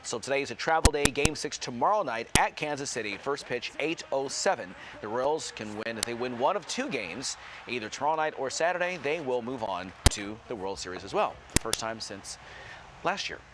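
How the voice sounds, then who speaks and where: A man's voice: mid-range voice, expressive, normal speed, heavy background noise. A man with an American accent presenting morning news and sports as a broadcast anchor.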